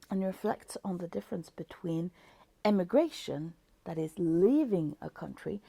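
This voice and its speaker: A woman with a Norwegian accent talks through a book review at an unhurried pace. Her low, mellow voice carries a gravelly edge. The sound is close and dry, and she often stops to search for the right word. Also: no echo. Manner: thoughtful, searching for words with many fillers. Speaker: book vlogger. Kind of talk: casual book review talk.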